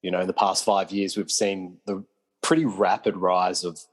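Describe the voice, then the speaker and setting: Hesitant low-voiced man. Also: flat delivery, normal speed. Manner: hesitant, restarts and "um"s. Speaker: podcast co-host. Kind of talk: casual film chat.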